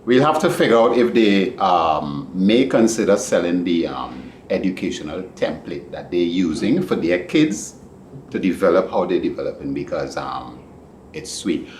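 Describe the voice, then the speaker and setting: A man hosting a podcast, interviewing a guest in a casual, rambling and animated way. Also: low voice, normal speed. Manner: animated, rambling, laughs easily. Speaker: podcast host. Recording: echoey room with background noise.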